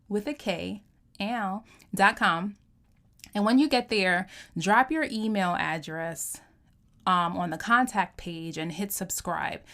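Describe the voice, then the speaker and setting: A woman hosting a podcast, talking in a chatty, upbeat way and laughing easily as she introduces and interviews her guest. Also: medium pitch. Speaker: podcast host. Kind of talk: casual interview chat.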